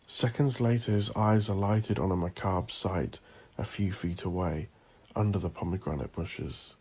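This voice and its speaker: A man narrating dramatic horror fiction as the host of an audio drama, in an ominous, theatrical and deliberate manner. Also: deep voice, fairly even intonation, a little mellow, husky.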